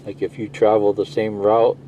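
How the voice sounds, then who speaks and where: A man's voice: lowish voice, little pitch movement, moderate pace, warm tone, a little hiss or hum, low-quality recording. A middle-aged man giving a casual, rambling, plain-spoken hands-on walkthrough of a gadget, explaining as he goes. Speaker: CB radio video presenter.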